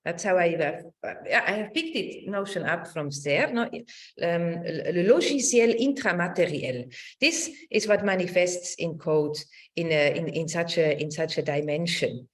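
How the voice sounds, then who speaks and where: Female lecturer, middle-aged, lowish pitch, halting and searching delivery over a video call. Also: animated. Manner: halting, searching for words, repeats phrases, tag "no?". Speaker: academic lecturer. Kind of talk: academic online talk with shared slides.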